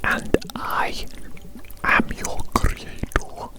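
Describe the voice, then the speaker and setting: A woman playing a gleeful evil mad scientist in an ASMR roleplay, whispering breathily and coaxingly, repeating phrases theatrically as they dote on their creature. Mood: doting, gloating. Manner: slow, repetitive, theatrical, coaxing.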